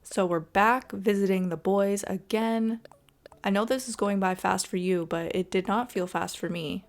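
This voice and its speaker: A woman's voice: mid-range voice, normal speed. A woman giving casual, chatty gameplay commentary in an informal way. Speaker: gaming video host.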